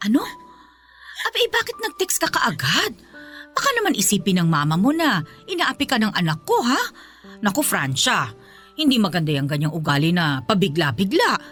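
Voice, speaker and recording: A woman's voice: mid-range voice, very animated, a bit bright, soft background music. A woman playing a middle-aged, indulgent but scolding Filipina mother-in-law in a radio drama, nagging and dismissive, with a Filipino accent. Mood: alarmed. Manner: nagging, dismissive, drawn-out phrases.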